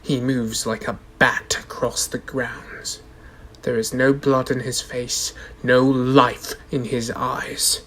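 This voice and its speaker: A man's voice: medium pitch, flat delivery, slow, fairly bright tone, a little hiss or hum. A young man playing a solemn, formal courtroom orator who reads dramatically in a radio drama. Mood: solemn, ominous. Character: solemn courtroom orator reading a dread-filled journal. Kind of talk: scripted radio drama narration.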